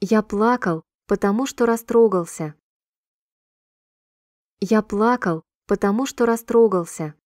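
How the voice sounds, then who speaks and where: Woman, language drill reader, measured and clear, warm tone, dry sound. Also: mid-range voice, no echo. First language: Russian. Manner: clear, measured, evenly paced repetition. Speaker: Russian sentence reader. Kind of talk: slow scripted language-drill reading.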